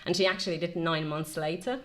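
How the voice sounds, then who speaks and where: A middle-aged woman from Finland, a company director being interviewed, speaking in a measured way with many "um"s between phrases. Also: lowish voice, steady tone, a bit bright. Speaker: marketing and e-commerce director, guest. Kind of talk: casual interview.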